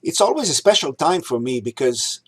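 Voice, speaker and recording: A man's voice: a little high, big pitch swings, fairly bright tone, slightly rough. A man in his sixties who grew up in Egypt, giving a prepared holiday talk and recalling customs, listing items one at a time. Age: 60s. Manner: measured, reminiscing, lists items one by one. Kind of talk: prepared talk read aloud.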